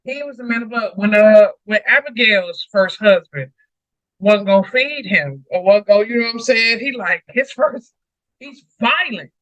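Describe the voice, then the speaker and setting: High-pitched, bright-voiced emphatic man. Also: normal speed, no echo, poor audio. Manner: emphatic, repeats phrases for weight. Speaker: co-host of a Bible read-along discussion. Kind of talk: casual scripture discussion.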